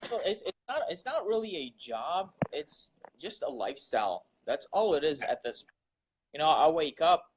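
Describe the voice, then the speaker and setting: A man's voice: fairly high pitch, very animated, moderate pace, noisy background. A young man from Russia, a guest entrepreneur, talking earnestly about his daily grind, repeating words and using many fillers. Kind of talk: casual interview talk.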